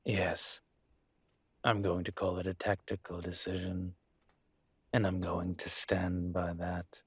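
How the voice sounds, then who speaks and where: A man playing a suave, courteous dragon mafia boss in an intimate roleplay audio, with a husky, breathy voice and a gentle, teasing formality. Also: low-pitched, monotone, slow pace, no room sound, heavily acted. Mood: wry, firm.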